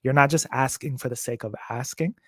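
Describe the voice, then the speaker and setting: A man's voice: mid-range voice, brisk, husky, no echo, studio quality. A man as a guest on a podcast interview, speaking earnestly and searching for words as he goes. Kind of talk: casual conversational interview. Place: remote video call.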